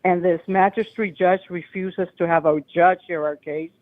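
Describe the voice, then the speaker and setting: A woman caller with a loud voice, telling a long agitated story over the phone to a call-in show. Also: on the low side, fairly even intonation, normal speed, no echo. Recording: tinny phone line.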